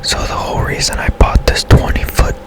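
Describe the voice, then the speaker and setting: A man whispering softly and casually as he gives rambling, unhurried commentary on a video game for ASMR. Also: music in the background. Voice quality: soft unvoiced whisper. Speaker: game streamer.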